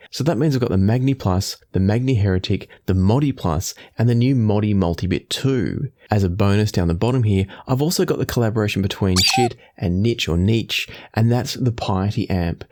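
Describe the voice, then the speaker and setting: A man's voice: on the low side, darkish tone. A man hosting a product review, explaining things thoroughly in a casual, conversational way.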